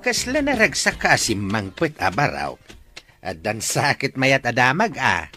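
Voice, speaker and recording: Bright, lively Ilocano father. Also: mid-range voice, a little slow, soft background music, exaggerated voice. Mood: teasing, encouraging. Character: kindly, teasing father advising his grown son. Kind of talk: Ilocano radio drama acting.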